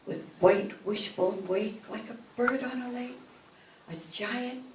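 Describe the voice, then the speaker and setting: An older woman reciting poetry expressively at a community event, with measured, dramatic phrasing. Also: fairly high pitch. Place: event room, recorded from a distance. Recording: echoey, noisy room, distant microphone.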